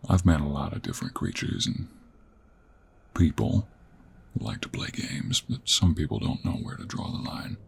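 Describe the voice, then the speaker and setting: A man playing a gruff, teasing, weary 49-year-old cowboy monster hunter in an intimate audio roleplay, with a husky Western American drawl. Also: a bass voice, animated, full character voice. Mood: apologetic, soft. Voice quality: gravelly, husky. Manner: slow, drawling, with heavy sighs and pauses. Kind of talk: intimate audio roleplay acting, addressed to the listener.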